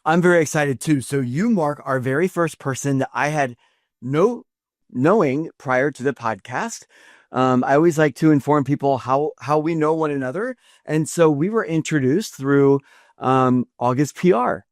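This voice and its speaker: A man hosting a podcast interview in a studio, often halting and repeating words as he thinks. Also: fairly high pitch, no echo. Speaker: podcast host. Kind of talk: interview with a scripted intro.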